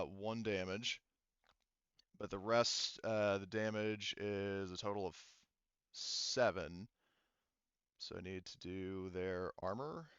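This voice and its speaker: A man acting as game master of a tabletop game, explaining rules casually in a halting, step-by-step way. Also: on the low side, a bit bright, smooth, no echo, dull audio.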